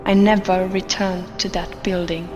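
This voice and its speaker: A woman playing a haunted, fearful office worker, narrating an audio horror drama in a grave, deliberate way. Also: fairly low pitch, flat delivery, close to an everyday voice. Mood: haunted dread. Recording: long reverb, dull sound, music behind.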